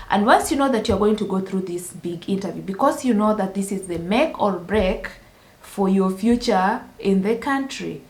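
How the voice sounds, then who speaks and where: A woman from Kenya sharing a personal story as a vlogger, earnest and careful, with many restarts. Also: mid-range voice. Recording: roomy echo.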